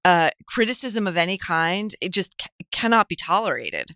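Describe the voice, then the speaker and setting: A woman's voice: lowish voice, sing-song, normal speed, pushed and bright, no echo. A middle-aged woman giving wry, scornful commentary as a conversational talk show guest.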